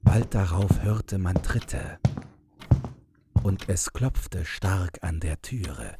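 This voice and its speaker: A man narrating a scripted fairy tale in a measured storytelling manner. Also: deep voice, background noise.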